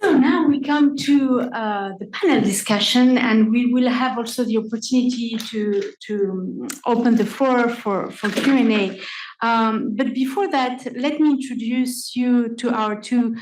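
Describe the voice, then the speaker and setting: A woman's voice: on the high side, a little slow, a little mellow. A woman moderating a conference panel, polite and formal, with small hesitations and repeated words. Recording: echoey conference room.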